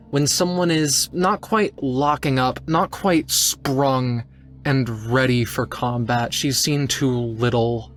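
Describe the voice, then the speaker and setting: A man playing a tabletop roleplaying game, narrating his character's actions and thoughts. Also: mid-range voice.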